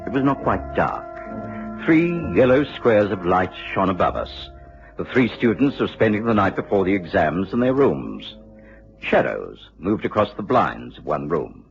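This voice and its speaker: An English actor playing a courteous gentleman doctor and storyteller in a vintage radio drama. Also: fairly low pitch, gravelly, slightly rough, exaggerated voice. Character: Doctor Watson, courteous English gentleman narrator, easily astonished. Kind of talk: 1950s BBC radio drama acting and narration. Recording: dull vintage radio recording, music.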